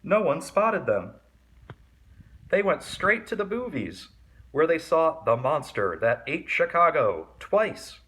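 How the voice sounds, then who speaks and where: A man reading a children's story aloud in an expressive, animated and deliberate way. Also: fairly high pitch. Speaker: storybook reader.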